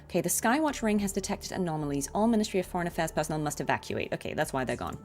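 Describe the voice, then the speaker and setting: A chatty woman streamer talks her way through a game in a slightly low voice with plenty of fry. She thinks aloud in a casual way, with game music clearly playing behind her. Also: very animated, very fast. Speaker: streamer, voice actress. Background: video game music.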